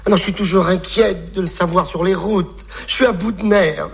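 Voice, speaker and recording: A man's voice: sits high, steady tone, normal speed, vocal fry. An actor playing an anxious middle-aged wife who complains to her doctor in a fretful, breathless rush, in a vintage comic sketch.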